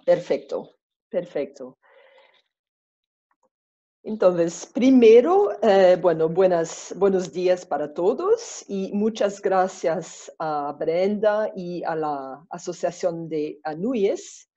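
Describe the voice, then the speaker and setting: A woman with a Brazilian Portuguese accent, a native Portuguese speaker, giving an online presentation slowly and carefully, with hesitations, over a video call. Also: fairly low pitch, expressive, warm tone. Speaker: webinar presenter. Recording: dull lo-fi video call audio.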